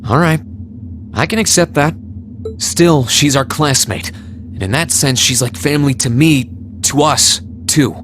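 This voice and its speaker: A man's voice: fairly high pitch, a little slow, fairly bright tone. An actor playing a polite, loyal and resolute young male student in a video game, speaking sincerely. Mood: earnest, firm. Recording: music behind the voice.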